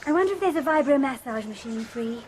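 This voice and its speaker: A woman's voice: high voice, lively intonation, quick pace, a little mellow, slight background noise, poor audio. An actress playing a breezy, playful young woman chatting with a friend. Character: light, easygoing young woman.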